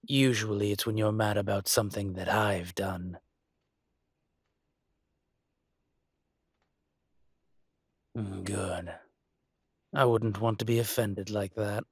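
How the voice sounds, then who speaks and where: A man playing a suave, teasing dragon mafia boss in a scripted romantic audio roleplay, speaking deliberately. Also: on the low side, steady tone, husky, no room sound, clean studio sound.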